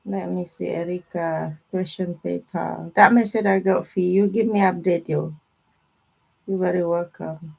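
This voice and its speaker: A woman's voice: on the low side, steady tone, measured pace, gravelly, a little mellow, slightly rough, lo-fi sound. A woman with informal, non-native English phrasing, chatting casually with viewers as she gives a live tarot reading. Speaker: tarot reader hosting a live stream.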